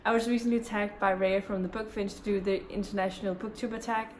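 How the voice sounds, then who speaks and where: A woman's voice: medium pitch, fairly even intonation, fast talker, darkish tone, slight background noise. Danish woman chatting in a book vlog. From Denmark. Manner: chatty, many ums and restarts. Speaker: book vlogger. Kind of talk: casual vlog chat.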